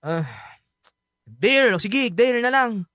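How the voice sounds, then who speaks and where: A man's voice: extremely high pitch, lively intonation, slow, a bit bright, no room sound, clean studio sound, full character voice. A man playing a cocky, easily annoyed young friend in a radio drama, whiny and teasing, with a Filipino accent.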